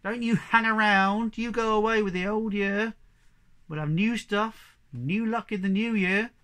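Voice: medium pitch, steady tone, a little slow, a bit bright, voice pushed up, lightly acted. A storyteller of unclear sex voicing an earnest, kindly poor country farmer in a folk tale. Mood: scolding. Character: gentle older brother, poor country farmer who tends his animals.

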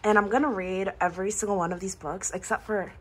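A woman's voice: mid-range voice, steady tone, fast talker. A young American woman chatting casually to her phone indoors, in a playful, teasing, informal way. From born in Korea, grew up in Missouri. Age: young adult. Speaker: student vlogger. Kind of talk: casual chat to camera. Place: indoors in dorm rooms and lounges. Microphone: phone at arm's length. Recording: handheld vlog audio with clear background noise.

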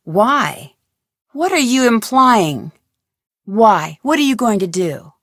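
An actress playing an inquisitive, persistent young woman detective in an adventure game, with clear, earnest, questioning delivery. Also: a little high, sing-song, slow, dry recording, studio quality, exaggerated voice. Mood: probing, suspicious. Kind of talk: scripted adventure game voice lines.

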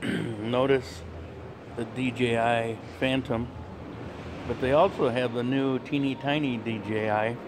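A man talking through a casual walking travel vlog in a shopping mall, relaxed and chatty with plenty of fillers. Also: medium pitch, takes it slowly. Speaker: travel vlogger. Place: outdoor mall terrace and shopping center. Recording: handheld camera with street and mall noise.

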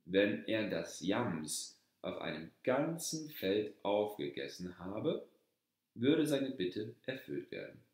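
A male storyteller reads a scripted fairy tale in a low voice. He takes his time, pacing the narration slowly and deliberately. The recording is strongly reverberant, as if made in a very echoey room. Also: sing-song, darkish tone. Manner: measured, deliberate read-aloud delivery. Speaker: storyteller reading a folk tale aloud.

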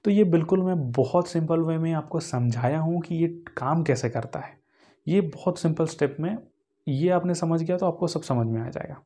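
Young indian guy teaching a tutorial, kinda high but soft dark tone, explains stuff simply, drops english tech words. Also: normal speed. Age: 20s. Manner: simple step-by-step explaining, mixing in English technical terms. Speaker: instructor. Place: indoor green-screen studio.

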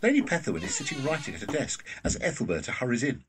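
A man's voice: mid-range voice, huge pitch swings, quick pace, a bit bright, far from the mic, slight background noise. A man reading scripted stage directions as the narrator of a drama, a little off the microphone.

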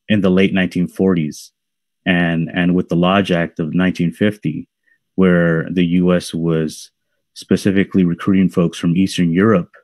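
A researcher in his thirties speaks over a video call with earphones. He has a very deep voice and talks slowly and in a measured way, with frequent fillers. The sound is dry and close. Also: dry recording. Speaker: researcher panelist. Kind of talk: panel discussion answer.

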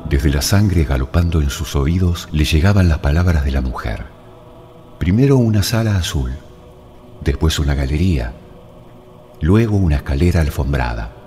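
A man narrating a literary story in a dramatic, measured and deliberate way. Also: extremely low pitch, animated, warm tone. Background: music.

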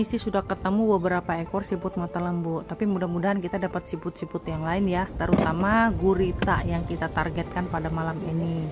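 A woman narrating her own foraging vlog as a voice-over, chatting with viewers as friends. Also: on the low side. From Indonesia. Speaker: vlog host. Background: background music.

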